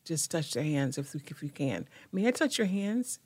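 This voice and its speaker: A woman, a guest representing a foundation, speaking in quick, halting bursts with frequent restarts. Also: low-pitched, big pitch swings, a little mellow, slightly rough, dry recording. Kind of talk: casual interview conversation.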